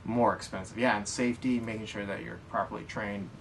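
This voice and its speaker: A man with an American accent and a fairly low voice talks casually as a podcast co-host. He explains things in a loose, rambling way. He sounds away from the microphone, with some faint noise. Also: steady tone, brisk, creaky, fairly bright tone. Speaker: podcast co-host answering listener questions. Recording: distant mic, background noise.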